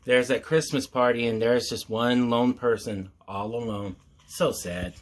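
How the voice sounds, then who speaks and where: A man hosting storytime, reading a picture book aloud with mock-earnest asides in a measured storyteller's manner. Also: medium pitch, fairly even intonation.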